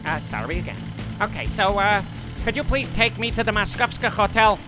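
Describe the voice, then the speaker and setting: A man voicing a flustered American tourist from New York with a New York accent, whiny and quick to apologize. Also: sits high, lively intonation, music in the background. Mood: apologetic. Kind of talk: comedy audio sketch.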